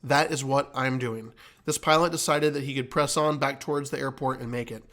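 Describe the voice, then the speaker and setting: A man's voice: medium pitch, fairly bright tone. A man giving an explanatory commentary like an instructor, teaching emphatically and repeating key points. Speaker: flight instructor and host.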